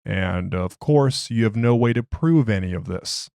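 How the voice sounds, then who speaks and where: A man's voice: fairly low pitch, animated, a little slow, gravelly, mellow, clean tone, dry recording, studio quality. A man voicing a skeptical research doctor who questions a prisoner in formal, clipped, dismissive speech. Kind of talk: dramatized interview log.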